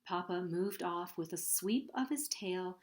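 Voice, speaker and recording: A woman hosting storytime, reading a children's picture book aloud in an expressive, deliberate way. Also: on the low side.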